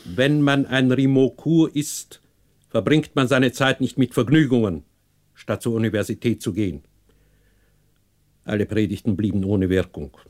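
A man acting a stern, proud, unforgiving older patriarch in a German radio play, cold, sarcastic and measured. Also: medium pitch, a little mellow, lightly acted. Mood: cold, haughty.

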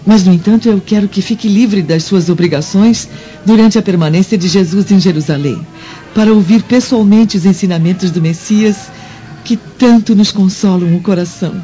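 An actress playing a gentle, dutiful Roman noblewoman and wife in a radio drama, with a formal, wistful manner. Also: fairly low pitch, moderate pace, natural voice. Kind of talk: theatrical radio drama acting. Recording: dull radio drama with faint music.

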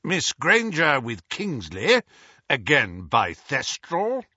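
A man voicing a gruff, suspicious old male wizard who barks orders in a growl, on a slightly dull recording. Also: medium pitch, wildly swooping pitch, slow pace, bright, forceful tone, dry recording, pitched above the performer's own voice, heavily acted. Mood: gruff commanding.

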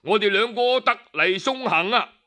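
A sly Cantonese commoner from a storybook, voiced by a man. He exclaims with mock innocence in a high, sharp voice to goad his listener. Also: animated, normal speed. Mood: mock surprise. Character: sly commoner friends feigning surprise to goad a lord.

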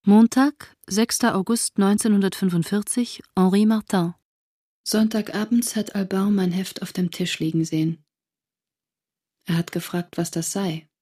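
Husky, intimate woman diarist. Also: medium pitch, fairly even intonation, mellow. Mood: matter-of-fact. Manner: measured, intimate, literary delivery. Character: reflective French woman reading her 1945 diary of friends. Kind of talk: literary radio drama narration.

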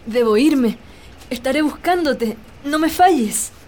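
A woman's voice: high-pitched, lively intonation, measured pace, darkish tone, slight background noise. A woman playing an earnest, lovestruck young landowner's daughter in a romantic radio drama. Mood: urgent. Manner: earnest, pleading.

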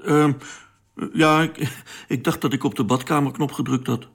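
A man's voice: medium pitch, fairly even intonation, moderate pace, vocal fry, breathy edge. An actor playing an informal, curious and easily puzzled spaceship crewman in a radio drama. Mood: bemused. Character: tired, bemused young crewman, informal and curious.

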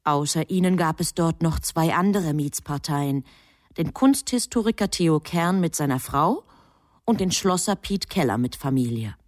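An actress playing a composed, knowing storyteller, narrating a German radio drama in measured phrases. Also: female voice, deep voice, lively intonation, dry recording, studio quality. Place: studio.

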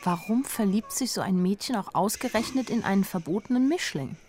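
A woman's voice: medium pitch, expressive, a little fast, darkish tone, slight background noise, lightly acted. An actress playing a naive but observant young woman who tells her own story in a wry, plain-spoken radio drama narration. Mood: confiding, wry.

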